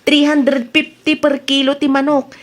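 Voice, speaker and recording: Woman acting as a shifty lady vendor, really high voice, whiny n always protesting, comedy drama. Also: level tone, unhurried. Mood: evasive. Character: sly, cheating market vendor wife, evasive when accused.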